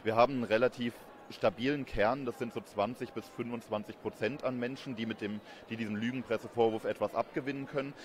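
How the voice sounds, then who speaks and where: A man in his thirties giving measured, explanatory answers as an interviewed academic expert. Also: mid-range voice, steady tone. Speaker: interviewed expert, communication professor. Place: busy event courtyard in daylight. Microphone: handheld reporter microphone held close. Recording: outdoor urban ambience behind voice.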